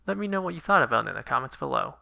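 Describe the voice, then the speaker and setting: A man's voice: fairly high pitch, sing-song, rapid-fire, vocal fry, bright, forceful tone, no room sound. A man, the author hosting the channel, reading scripted closing announcements in a plain, matter-of-fact way.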